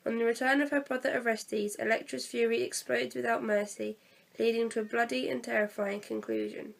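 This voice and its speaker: A teenage girl talks casually to her camera, her voice croaky and gravelly from a cold. She is fairly high-pitched and chatty, hesitating often. She sits some way back from the microphone, so she sounds a little distant. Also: little pitch movement, fairly quick. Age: teens. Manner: chatty, hesitant, many fillers. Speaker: vlogger reviewing a theatre play. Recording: distant from the mic.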